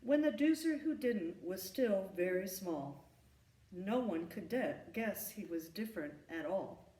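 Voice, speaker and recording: A woman reading a rhyming children's story aloud in an expressive, emphatic storytelling manner. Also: medium pitch, a little slow. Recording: echoey room, distant from the mic.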